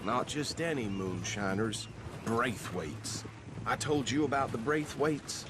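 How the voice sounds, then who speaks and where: An actor playing a chatty, officious small-town deputy from the American South in a game, folksy and self-important. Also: male voice, medium pitch, expressive, slow. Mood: confiding. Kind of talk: video game cutscene acting. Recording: clear outdoor vehicle noise behind.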